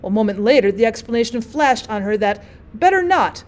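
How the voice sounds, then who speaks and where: A woman's voice: medium pitch, quick pace, darkish tone. A woman reading a classic short story aloud as scripted audiobook narration, like a teacher for her students.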